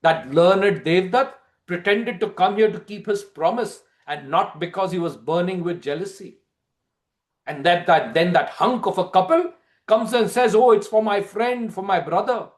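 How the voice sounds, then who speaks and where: A man's voice: sits high, normal speed, natural voice. A man voicing a stern, blunt goddess in a dramatic storytelling reading, commanding and scornful.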